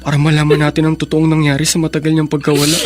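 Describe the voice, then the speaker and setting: A man's voice: on the high side, monotone, racing, lightly acted. A man playing a concerned, respectful young elder brother in a radio drama.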